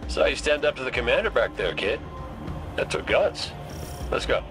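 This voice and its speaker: A laconic, encouraging veteran from a video game. The male voice is middling in pitch but springy and casual, with clear music underneath. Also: very animated, normal speed. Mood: breezy. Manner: casual, clipped, folksy.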